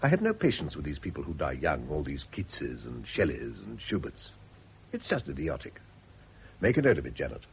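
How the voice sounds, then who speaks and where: An urbane middle-aged gentleman in a radio play, with a very deep voice that swoops theatrically as he teases and pontificates. The old recording is muffled and a little noisy. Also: huge pitch swings, moderate pace, vocal fry, exaggerated voice. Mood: flippant, jovial. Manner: flippant, pompous, teasing. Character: urbane, teasing wealthy gentleman art lover, a man. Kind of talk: radio drama acting.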